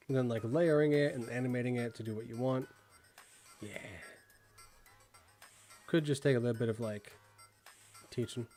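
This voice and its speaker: A man's voice: medium pitch, steady tone, moderate pace, long pauses, dark tone, faint music behind. A young man with a Midwestern accent, chatting casually on a stream and rambling with lots of fillers. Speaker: streamer reviewing viewer work. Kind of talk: casual live-stream chat.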